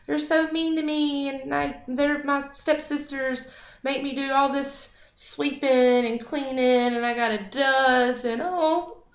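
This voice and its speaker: A woman's voice: sits high, fairly even intonation, slow. A woman voicing a self-pitying young maiden who whines and sobs over her hard life, in an echoey room. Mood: sobbing. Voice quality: whiny, weepy. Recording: echoey, slightly dull recording.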